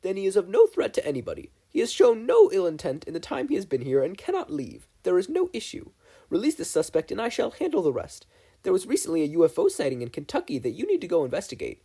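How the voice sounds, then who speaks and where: A man's voice: sits high, wildly swooping pitch, fast talker, dark tone, breathy edge, voice pushed up, exaggerated voice. A man voicing a bold young girl who acts the haughty congresswoman, speaking in a formal, declamatory way. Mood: firm, official. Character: bold twelve-year-old girl playing a haughty congresswoman.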